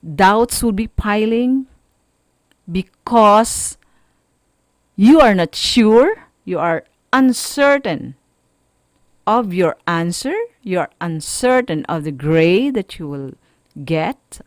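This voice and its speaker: A woman teacher with a Filipino accent delivers a radio lesson at an unhurried pace. She explains in short, halting phrases with firm emphasis, her pitch moving expressively. The sound is dry and close. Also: expressive, no room sound.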